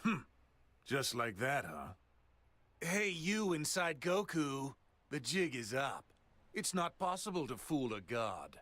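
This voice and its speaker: A man's voice: medium pitch, big pitch swings, slow, fairly bright tone, no echo. A man voicing a haughty, blunt god in an anime video game, with an imperious and dismissive manner. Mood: suspicious, smug. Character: haughty, bored, blunt male god of destruction.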